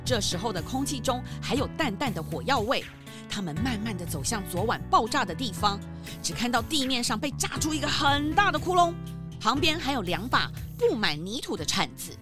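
Animated woman narrator, slightly high voice. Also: a little fast, music in the background. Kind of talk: scripted children's story narration.

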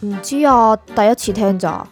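A woman's voice: on the high side, moderate pace, soft background music, natural voice. A woman with a Hong Kong Cantonese accent playing an aloof, matter-of-fact soul prosecutor who is naive about humans, in an audio drama. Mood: puzzled.

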